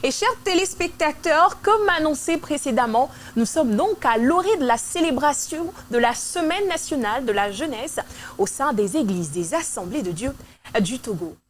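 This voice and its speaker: Une femme à l'togolais anime une émission télévisée pour la jeunesse chrétienne en studio et relance ses invités avec entrain. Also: female voice, sits high, normal speed, slight background noise. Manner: lively and engaging, prompts guests. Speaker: TV show host. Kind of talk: hosting a church youth TV program.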